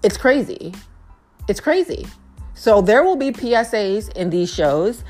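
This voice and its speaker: A young woman hosting her own podcast, talking casually and earnestly, with a naturally low-toned voice and a habit of repeating phrases for emphasis. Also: very animated, measured pace, a little mellow, faint music behind. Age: young adult. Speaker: podcast host. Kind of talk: casual heartfelt monologue.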